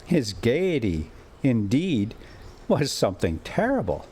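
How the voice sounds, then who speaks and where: A man reading a classic adventure novel aloud as an amateur storyteller, with dramatic, deliberate phrasing and pauses. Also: medium pitch, very animated, a little mellow, husky. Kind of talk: expressive amateur audiobook narration with casual asides.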